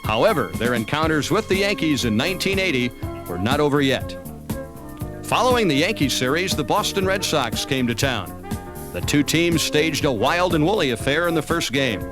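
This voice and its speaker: A man's voice: fairly high pitch, animated, normal speed. An American man reading scripted narration for a baseball season recap. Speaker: documentary narrator. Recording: music under the voice. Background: background music.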